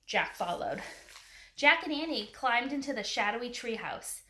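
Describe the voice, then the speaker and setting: A woman's voice: on the high side, lively intonation, normal speed, pushed and bright. A woman reading a children's adventure story aloud as its narrator. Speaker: storybook reader. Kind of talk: children's book read aloud.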